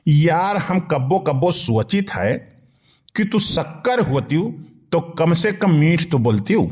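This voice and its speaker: A man's voice: on the high side, big pitch swings, measured pace, a little mellow. A man voicing a teasing village fellow in a comedy cartoon, speaking colloquially with an Awadhi accent.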